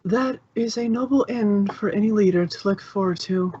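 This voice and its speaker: A woman's voice: medium pitch, normal speed, mellow, breathy edge, dull audio, voice pushed up, natural voice. A woman voicing a blunt, regal pony princess who speaks formally and brusquely in a storybook reading. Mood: stern, regal.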